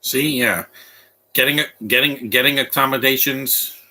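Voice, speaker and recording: Bright-voiced man rambling through film commentary. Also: mid-range voice, steady tone, a little slow, poor audio. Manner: rambling, stop-start with repeated restarts. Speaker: movie commentator. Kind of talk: casual live movie commentary.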